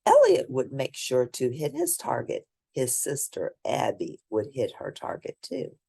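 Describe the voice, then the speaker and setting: A woman reading a children's picture book aloud in an expressive, emphatic way, with asides to young listeners. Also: extremely low pitch, unhurried, creaky, husky. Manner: animated, emphatic storytelling. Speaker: children's book reader and host.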